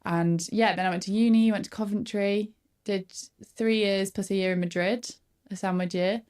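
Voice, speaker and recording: A woman's voice: mid-range voice, fairly even intonation, moderate pace, darkish tone. A woman, chatting casually as a guest and laughing often. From northern England. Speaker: photographer guest. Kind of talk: casual podcast interview.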